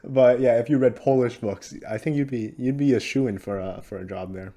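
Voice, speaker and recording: A young man hosts a relaxed podcast conversation in a mid-pitched voice. He sounds upbeat and laughs easily, with lots of filler words. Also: normal speed. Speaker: podcast host. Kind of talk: casual chat.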